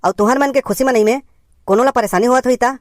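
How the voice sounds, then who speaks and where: A woman playing a concerned village uncle in a cartoon comedy, gently lecturing in a hesitant way with a Chhattisgarhi accent. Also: a little high, fast, dry recording, studio quality, close to an everyday voice. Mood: admonishing, earnest.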